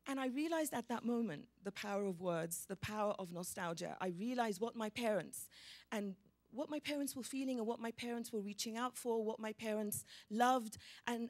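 A middle-aged woman from Egypt, telling a personal story on stage in a large hall, speaking expressively into a handheld microphone. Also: fairly high pitch. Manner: animated, expressive, gestures as she talks. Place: large hall with a live audience.

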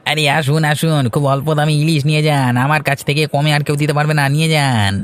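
A man's voice: a little high, steady tone, fast talker, clean tone, lightly acted. A man voicing a pushy, deferential market fishmonger in a cartoon, with wheedling, persistent sales patter. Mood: hawking, wheedling. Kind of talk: cartoon fairy-tale voice acting.

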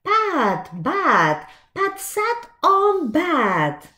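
Very slow, animated woman reading to children. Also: extremely high pitch. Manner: expressive, with asides and questions to the listeners. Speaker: children's storytime reader. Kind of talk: reading a picture book aloud to young children. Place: small indoor room with shelves.

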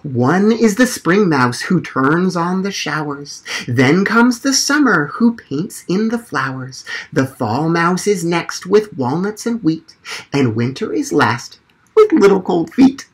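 A man voicing a dreamy, shy poet field mouse from a storybook, reciting slowly and theatrically. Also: fairly high pitch, big pitch swings, slightly rough, heavily acted.

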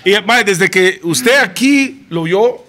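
Podcast host, bright and high, slangy, drops in English words. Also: male voice, animated, takes it slowly. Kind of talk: casual podcast chat. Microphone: studio mic with headphones.

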